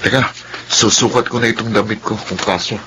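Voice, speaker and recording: An actor as an aloof young Filipino son. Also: male voice, on the low side, fairly even intonation, brisk, noisy background, lightly acted. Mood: evasive. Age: young adult. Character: reluctant, aloof young son avoiding his sister. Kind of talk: radio drama acting.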